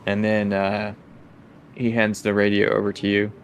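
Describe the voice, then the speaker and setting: A man with a fairly low voice narrates a tabletop game as game master. He improvises scenes conversationally, with faint noise in the background. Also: completely flat delivery, moderate pace.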